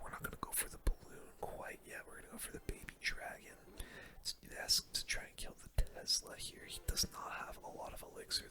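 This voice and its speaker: A person giving casual, slangy gaming commentary in a soft, breathy ASMR whisper, close to the microphone. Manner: casual slangy gamer talk, reacting to play. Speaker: gaming video host.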